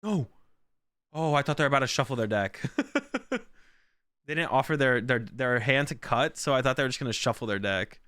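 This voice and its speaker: A man's voice: mid-range voice, lively intonation, moderate pace, pauses often, pushed and bright, no room sound, clean studio sound. A man who is sick and losing his voice, rambling through casual stream commentary with lots of fillers. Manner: rambling, filler-heavy, thinks aloud.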